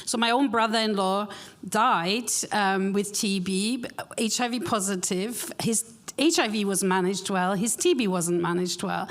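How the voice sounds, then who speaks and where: A woman speaking on a conference panel, loud and reflective, sharing personal experience with quick asides. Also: mid-range voice, fairly even intonation. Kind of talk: panel discussion.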